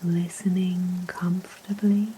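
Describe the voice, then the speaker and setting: A woman guiding a sleep hypnosis relaxation in a soft, whispery, gentle and soothing voice, with long unhurried pauses. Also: fairly low pitch, a little hiss or hum. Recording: steady rain sounds behind voice. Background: rain ambience.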